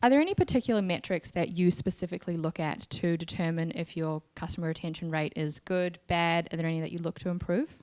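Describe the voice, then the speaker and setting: A woman's voice: on the low side, fast talker, hardly any pauses, darkish tone. A woman moderating a panel discussion in a conversational way, as its host. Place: live event in front of an audience.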